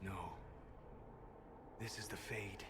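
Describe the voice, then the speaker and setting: A calm, scholarly mage, measured and quietly awed. His voice sits a little low. Clear ambient noise runs underneath. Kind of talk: video game cutscene acting.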